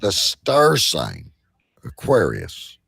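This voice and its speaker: A man hosting a bantering comedy quiz, halting as he sets up questions, then reading them out emphatically. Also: low voice, huge pitch swings, gravelly, bright, forceful tone, a little hiss or hum. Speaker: quiz host.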